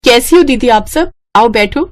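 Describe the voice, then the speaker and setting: A woman voicing a long-suffering, overworked young daughter-in-law in an animated family story. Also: a little high, moderate pace, voice pushed up, natural voice. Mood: dutiful. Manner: polite aloud, grumbling to herself.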